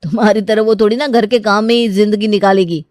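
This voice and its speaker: Stern protective brother, played by a woman narrator: pitched down, dismissive, bossy, scornful, domestic audio drama. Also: fairly even intonation, quick pace, darkish tone, no echo, clean studio sound, natural voice. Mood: indignant. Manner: curt, commanding, scornful.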